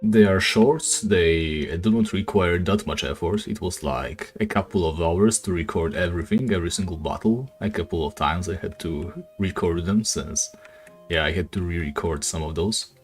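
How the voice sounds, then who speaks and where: A man streaming a game and chatting casually with viewers, speaking English as a second language, with a deep, raspy voice and a relaxed, rambling manner full of fillers. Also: normal speed, soft background music. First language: not English. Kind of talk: casual live-stream chat while gaming.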